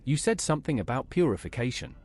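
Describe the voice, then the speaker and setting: A man's voice: medium pitch, lively intonation, fast talker, a little mellow, husky. A man reading short scripted questions as the player character in a video game.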